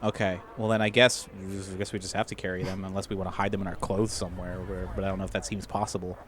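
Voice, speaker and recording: A man's voice: sits low, flat delivery, fast talker, crowd noise. A man playing a tabletop role-playing game, bantering casually in a geeky way. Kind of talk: casual improvised game chat.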